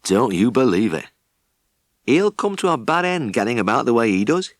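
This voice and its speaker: An old Yorkshire hill farmer, acted out by a male audiobook reader, with a voice that swings widely in pitch. He is blunt, bitter and suspicious, a grumbler with a short temper. The sound is close and dry. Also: sing-song, moderate pace, dry recording, natural voice. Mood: bitter, muttering. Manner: blunt, grumbling, bellowing when riled.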